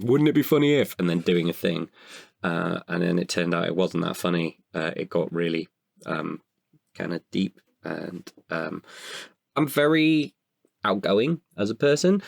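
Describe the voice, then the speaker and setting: A man, an actor, talking reflectively about himself in an interview, haltingly and with pauses mid-sentence. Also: low voice, creaky, no room sound, clean studio sound. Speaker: actor and guest writer. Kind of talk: reflective personal interview talk.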